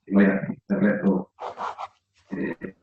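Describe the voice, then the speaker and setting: A man's voice: slow pace, a little hiss or hum. A man asking a lecturer long questions, hesitant and rambling with many fillers. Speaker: audience member asking questions. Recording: distant echoey lo-fi call audio.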